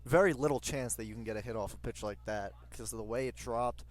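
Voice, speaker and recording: A man's voice: mid-range voice, fast. A man giving conversational live analysis as color commentator on a baseball radio broadcast.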